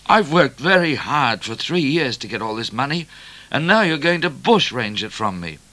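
A man's voice: medium pitch, big pitch swings, moderate pace, bright, forceful tone, pitched above the performer's own voice. A man voicing an earnest, easily wounded young outlaw of twenty in a storybook reading. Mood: indignant. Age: young adult figure of twenty. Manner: earnest, cross, aggrieved. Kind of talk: storybook character voice.

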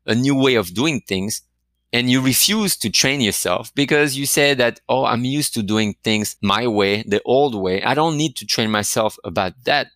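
Low-voiced man coaching, dry close sound. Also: fairly bright tone, no room sound. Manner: reflective, asks many questions. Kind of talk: motivational talk to camera.

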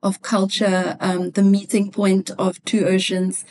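A woman with a South African accent from Cape Town, an economist answering interview questions in a thoughtful way, often pausing to find her words. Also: medium pitch, little pitch movement, a little mellow. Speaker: guest, economist.

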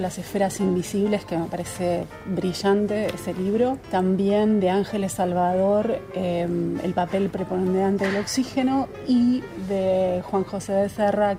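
A woman speaks casually as an interviewed writer. Her pitch is average, and music is clearly heard behind her.

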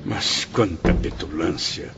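An actor playing a formal, pointed patient in a radio drama, with theatrical delivery. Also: male voice, deep voice, slow, creaky, over loud music, heavily acted. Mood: indignant, sarcastic. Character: sarcastic male patient, formal and indignant. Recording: muffled audio with faint noise.

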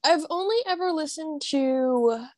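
A woman's voice: high-pitched, measured pace, darkish tone, no room sound, clean studio sound. A woman guest on a podcast, talking casually and enthusiastically. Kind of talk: casual chat.

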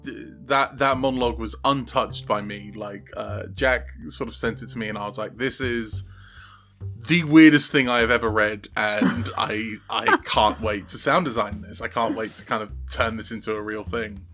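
A man speaking casually as an interview guest, explaining his creative work haltingly and repeating words as he goes. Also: on the low side, steady tone, pushed and bright, soft background music. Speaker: audio drama sound designer, podcast guest.

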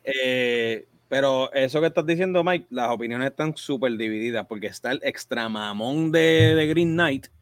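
Laid-back Puerto Rican podcast host. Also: male voice, mid-range voice, moderate pace, few pauses, no room sound. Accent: Puerto Rican Spanish. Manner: laid-back, teasing, hands turns to others. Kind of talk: casual film review chat.

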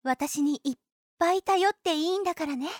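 A woman acts out a young nurse for an audio drama in a high, anime-style voice. She is blunt and boyish in her phrasing, yet protective and clingy. The sound is very dry, with no room around it. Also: brisk, no room sound. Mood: doting, protective. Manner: blunt boyish phrasing, doting toward the patient. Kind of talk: Japanese anime-style situation voice drama acting.

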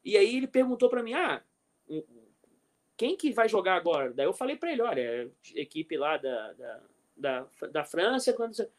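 A middle-aged Brazilian man with a Brazilian Portuguese accent, a guest coach telling animated anecdotes in a casual interview and often checking that the listener follows. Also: high-pitched, normal speed. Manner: animated anecdotes, frequent "entendeu?", chuckles.

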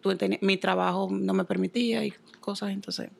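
A young woman from the Dominican Republic with a Dominican accent, answering interview questions in a soft-spoken way with frequent hesitations. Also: mid-range voice, little pitch movement, fairly quick, vocal fry. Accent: Dominican Spanish. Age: young adult. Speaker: guest.

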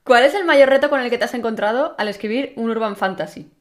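A woman's voice: a little high, fast talker. Chatty enthusiastic woman podcast host. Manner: chatty, enthusiastic, informal slang. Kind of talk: casual podcast chat.

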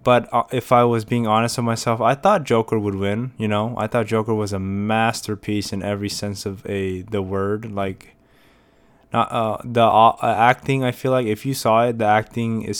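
A man's voice: on the low side, fairly even intonation, normal speed, clean tone. A young American man hosting a casual solo podcast, rambling with many fillers and restarts. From United States. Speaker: podcast host.

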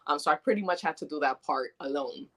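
A woman from New York, born in the Dominican Republic, talking as a doula guest in an earnest, chatty, animated way. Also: sits low, quick pace. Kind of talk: casual interview conversation.

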